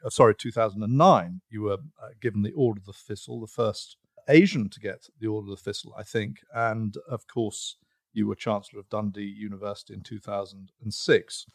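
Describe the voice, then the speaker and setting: A man hosting an interview, talking in a halting, conversational way with pauses and restarts. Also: on the low side, no room sound, studio quality. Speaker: interview host.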